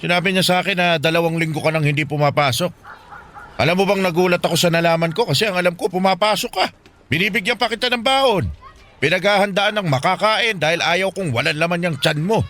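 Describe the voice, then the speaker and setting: A man's voice: sits high, quick pace, a bit bright. A man acting a poor, stern but loving Filipino guardian in an emotional radio drama. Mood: angry, scolding. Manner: emotional, scolding and pleading in turns. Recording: music bed behind the voice.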